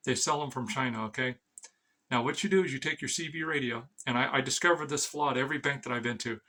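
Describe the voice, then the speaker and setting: A man's voice: medium pitch, fast, bright, forceful tone. A man giving a rambling, informal explainer as an amateur vlogger, blunt and sweary, often stammering over repeated words.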